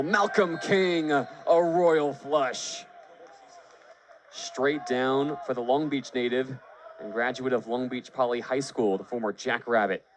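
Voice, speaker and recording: Male radio play-by-play announcer over venue noise. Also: mid-range voice. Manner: descriptive, fluent, lifts voice on big plays. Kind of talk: live sports commentary for baseball and volleyball. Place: live at a sports venue.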